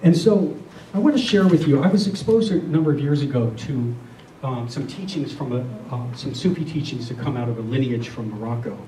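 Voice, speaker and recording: A middle-aged or older man giving a reflective spiritual talk to a group, speaking earnestly and deliberately, often repeating phrases. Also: mid-range voice, dark tone. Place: room with a listening group. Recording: roomy, distant mic, faint noise.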